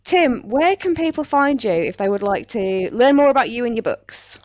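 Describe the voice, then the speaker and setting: A woman's voice: fairly high pitch, expressive, moderate pace, darkish tone, no room sound. A woman hosting a casual podcast chat in a chatty, wry manner. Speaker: podcast host.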